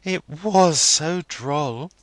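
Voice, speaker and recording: A man voicing a playful, pouting young woman in a high, sing-song girlish voice. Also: extremely slow, a bit bright, no room sound. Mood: playful, teasing.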